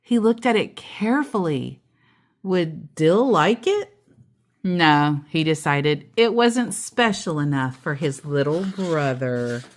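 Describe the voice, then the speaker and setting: A woman tells a children's story in an expressive read-aloud style. Her delivery is slow and highly animated in pitch, and she slips into casual asides as she goes.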